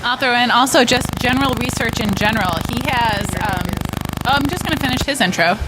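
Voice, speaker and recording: A woman's voice: fairly high pitch, brisk. A woman, a physical therapist, introduces herself on a live conference panel, playful and frank. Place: conference session with a live audience. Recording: live conference room with loud background noise.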